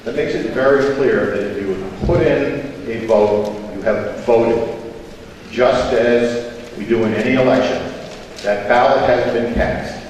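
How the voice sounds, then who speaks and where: A man's voice: medium pitch, takes it slowly, a little mellow. Man, confident floor speech, long reverb, faint hiss. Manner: confident, reading from the rules. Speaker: state representative. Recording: echoey chamber, distant mic, faint noise.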